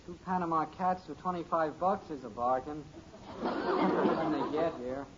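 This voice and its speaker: An actor playing an earnest, stubborn and gullible teenage son in a 1950s radio sitcom, with boyish, stammering protests. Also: male voice, fairly high pitch, steady tone, a little slow, natural voice. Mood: wistful. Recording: muffled, hissy old radio recording.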